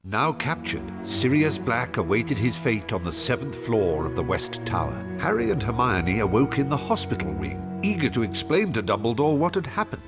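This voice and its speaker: A man's voice: medium pitch, very animated, normal speed, hardly any pauses. A man narrating a video game story in scripted recaps between scenes. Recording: narration over game music. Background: music.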